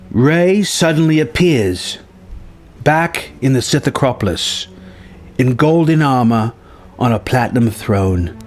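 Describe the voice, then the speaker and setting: A man's voice: mid-range voice, a little mellow. Man narrating dramatically over music. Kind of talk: dramatic narration of a film story treatment. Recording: music bed under the voice.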